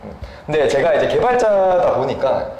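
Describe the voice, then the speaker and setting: A man's voice: fairly high pitch, big pitch swings, moderate pace, darkish tone, dull audio. A man giving a polite explanation as an interview guest, often humming briefly between phrases. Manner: polite, frequent short hums between phrases. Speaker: software developer, interview guest. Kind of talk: explanatory interview talk about a product. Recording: roomy echo, faint background noise.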